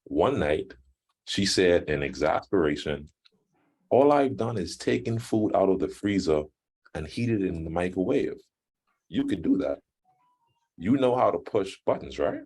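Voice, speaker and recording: Very deep, slow-talking man. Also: lively intonation, darkish tone, slightly rough. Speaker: club member giving fines. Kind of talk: casual online meeting talk. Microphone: video call.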